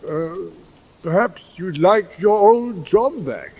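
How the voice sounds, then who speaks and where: An actor playing a polite British military officer with clipped speech in a radio drama. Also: male voice, sits high, animated, slow, warm tone, breathy edge, heavily acted. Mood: tactful.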